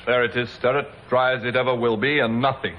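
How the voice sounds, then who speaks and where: An actor playing a practical, sceptical police detective in an old radio drama, speaking matter-of-factly. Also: male voice, medium pitch, steady tone, fast, lo-fi sound, lightly acted. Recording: noisy old radio recording.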